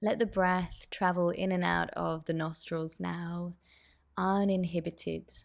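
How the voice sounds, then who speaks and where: A woman guiding a meditation as a teacher, giving soothing, unhurried instructions with pauses. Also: on the low side, fairly even intonation, vocal fry. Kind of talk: guided meditation.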